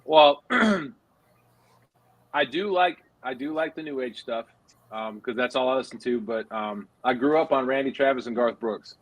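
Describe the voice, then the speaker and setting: A man's voice: mid-range voice, sing-song, moderate pace, muffled recording. Easy-laughing man from Colorado. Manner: laughs easily, explains things. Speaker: co-host reading viewer comments. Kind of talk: casual chat.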